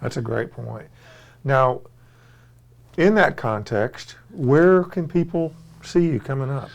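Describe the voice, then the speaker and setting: A male host interviewing a musician in a friendly, curious way, quick to laugh. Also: medium pitch, gravelly. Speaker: radio show host. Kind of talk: casual interview chat.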